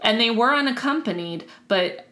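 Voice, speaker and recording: A woman co-hosting a casual podcast, relaxed and chatty as she walks her co-host through a historical account. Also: fairly high pitch, normal speed. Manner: relaxed storytelling, frequent "um" and "like".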